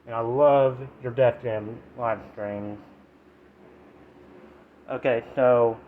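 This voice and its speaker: A man in his twenties speaks in a nasal voice, with a halting, rambling delivery broken into short fragments. He sounds like a casual vlogger talking to his viewers. The audio is very thin and phone-like, with faint noise behind him. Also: medium pitch, steady tone. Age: 20s. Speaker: YouTube vlogger reviewing products. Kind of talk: casual chat to camera, informal product review.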